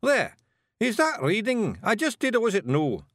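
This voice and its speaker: A man voicing a small, blustering male fairy clan chief in a broad Scottish accent, gruff and boastful. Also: high voice, lively intonation, fairly bright tone, dry recording, studio quality, pitched above the performer's own voice. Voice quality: gruff, boastful, quick to bristle.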